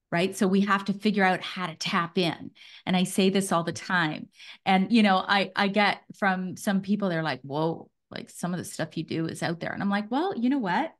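An upbeat woman in her forties, hosting a podcast from a small home studio. She talks in a quick, chatty way with friendly asides, her voice picked up close on a studio microphone. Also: mid-range voice. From Canada. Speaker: podcast host. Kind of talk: casual video-call interview. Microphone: studio mic on a stand.

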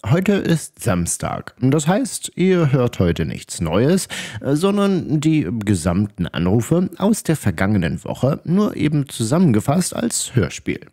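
A man's voice: medium pitch, wildly swooping pitch, a little slow, dark tone. Male channel host for show intro, extremely varied intonation, mid-range voice. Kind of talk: scripted-style intro narration to listeners.

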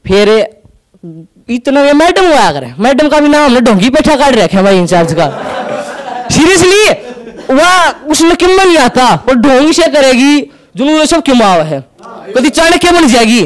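A young man with a Haryanvi accent doing stand-up storytelling on an indoor stage into a handheld mic, acting out quick back-and-forth dialogue. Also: extremely high pitch, very animated, low-quality recording. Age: young adult. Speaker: stand-up comedian. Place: indoor stage with curtain backdrop.

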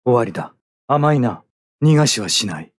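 A cool stoic young soldier pilot from an anime fighting game, clipped military talk, pitch moves a lot, really dry sound. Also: male voice, moderate pace, no room sound. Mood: cool, composed. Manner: terse, calm, clipped military phrasing. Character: stoic young male mecha pilot soldier, coolly tactical. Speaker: video game voice actor. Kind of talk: anime combat game voice lines.

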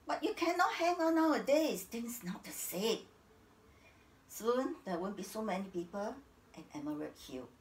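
Dramatic old Singaporean matriarch, echoey room. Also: female voice, mid-range voice. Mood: resigned, wistful. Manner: theatrical, swinging from hushed narration to indignant outbursts. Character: embittered, domineering old Chinese matriarch, widow and grandmother. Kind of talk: dramatic stage monologue, acting out remembered scenes. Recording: roomy, echoing, distant stage-like sound.

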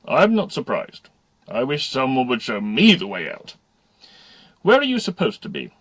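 A man voicing a stern male games teacher, sarcastic, sharp and condescending. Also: medium pitch, wildly swooping pitch, normal speed, slightly rough, no echo, voice pushed up, full character voice.

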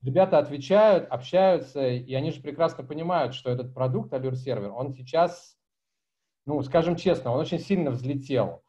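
A man's voice: fairly high pitch, normal speed. A man in his forties answering questions at a tech meetup over a video call from a small room at home, talking casually and animatedly. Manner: animated, gestures a lot, chatty and informal. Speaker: conference speaker answering questions. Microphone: laptop webcam mic on video call. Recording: slightly dull video call audio.

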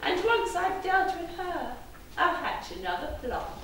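A woman's voice: very high voice, very animated, measured pace, a bit bright, exaggerated voice. A woman playing a scheming, malevolent pantomime villain on stage, declaiming with imperious, gloating relish. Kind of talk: theatrical pantomime stage acting, rhyming verse. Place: stage of a theatre. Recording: echoey theatre hall from far off, noisy.

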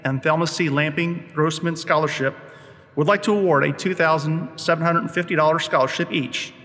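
A man's voice: fairly high pitch, moderate pace, fairly bright tone, long reverb tail, soft background music. Middle-aged man reading earnest remarks. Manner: earnest, reads from notes. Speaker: scholarship presenter. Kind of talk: prepared remarks read aloud. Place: indoors in front of a wall plaque.

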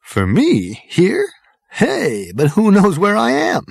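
A man voicing a cocky, flippant alien man who talks loud, breezy and full of slang. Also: high-pitched, huge pitch swings, slow, vocal fry, slightly rough, dry recording, studio quality, pitched above the performer's own voice, exaggerated voice. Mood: brash.

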